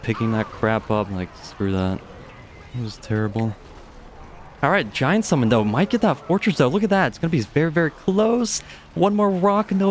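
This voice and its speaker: A young man with a deep, low voice casually commentates a live strategy game match from his home streaming setup. His delivery is chatty and relaxed, with occasional offhand swearing. A faint ambient hum sits beneath the close studio microphone sound. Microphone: studio dynamic microphone.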